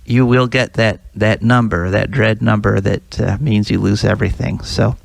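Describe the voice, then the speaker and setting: A man's voice: fairly low pitch, fairly even intonation, moderate pace, creaky, dry recording. A man hosting a radio talk show, reading sponsor notes and chatting easily with his co-host. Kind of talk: casual radio chat.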